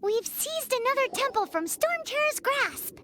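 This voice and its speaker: A woman voicing a tiny, bubbly, childlike fairy companion in a video game, with a squeaky, piping, cartoonish voice. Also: big pitch swings, unhurried, background noise, exaggerated voice. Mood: cheerful.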